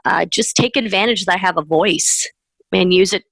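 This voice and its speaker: A woman's voice: medium pitch, moderate pace, vocal fry, a bit bright, dry recording, rough recording. Cheerful woman being interviewed, spanish was her first language, dry close mic. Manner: upbeat, laughs between points, many "um" and "you know". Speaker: mortgage professional, interview guest. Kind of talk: conversational interview.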